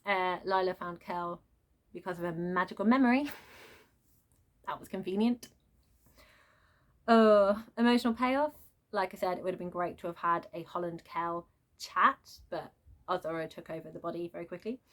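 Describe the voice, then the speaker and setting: A woman's voice: on the low side, steady tone, normal speed, long pauses. Sarcastic woman on a book rant. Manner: exasperated, sarcastic. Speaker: book reviewer. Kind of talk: casual, unscripted book rant.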